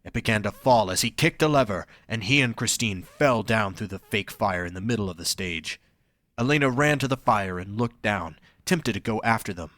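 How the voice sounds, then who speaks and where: A man's voice: fairly low pitch, animated, moderate pace, a bit bright, dry recording. A man reading scripted story narration as the narrator of an audio drama.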